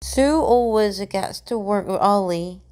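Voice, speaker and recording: Woman reading grammar drills; clear, measured, mid-pitched, pauses after each sentence. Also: a little mellow. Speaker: example sentence reader. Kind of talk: slow, evenly paced reading of grammar example sentences.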